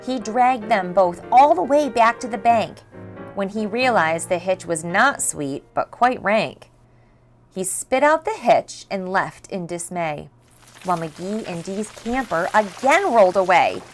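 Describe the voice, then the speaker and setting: A woman reading a rhyming picture book aloud for children in an expressive, measured storytelling style. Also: medium pitch. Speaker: storybook reader. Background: faint background music.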